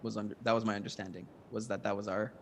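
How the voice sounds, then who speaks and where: A man's voice: on the low side, little pitch movement, fast. A man answering judges' questions as a start-up presenter, speaking in an explanatory, assured manner. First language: English. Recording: long hall reverb.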